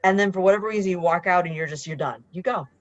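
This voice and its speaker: Female stage actor, fast, emphatic casual talk, slightly dull audio. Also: on the low side. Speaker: stage actress. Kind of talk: casual chat.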